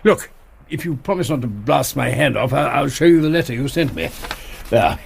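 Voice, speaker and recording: Gravelly, flustered old English colonel. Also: male voice, mid-range voice, very animated, moderate pace, slight background noise. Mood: flustered, indignant. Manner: flustered, stammering, hearty. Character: blustering, apologetic gentleman colonel, eager to please. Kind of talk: radio play acting.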